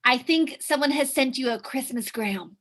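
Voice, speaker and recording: A woman's voice: high voice, normal speed, a bit bright. A woman playing a wry, amused office secretary in a comedic script read. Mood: discreet.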